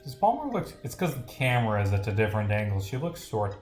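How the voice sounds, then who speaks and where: A man's voice: lowish voice, sing-song, quick pace. Male game developer on stream, off-the-cuff and rambling; roomy sound over music. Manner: rambling, thinking out loud. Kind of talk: casual livestream commentary, reading script lines aloud.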